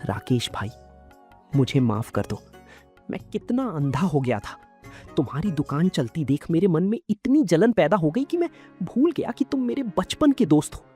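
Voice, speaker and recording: A woman voicing a proud male village shopkeeper with intense feeling, in an animated story. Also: sits low, moderate pace, pitched below the performer's own voice. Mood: remorseful. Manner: emotional, intense. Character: proud, jealous village shopkeeper man, bitter then remorseful. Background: background music.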